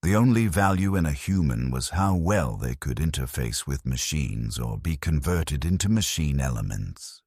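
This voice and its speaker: A man reading scripted first-person science fiction in a measured, grim storytelling manner. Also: a bass voice, animated, a little mellow, no room sound, clean studio sound. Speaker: narrator.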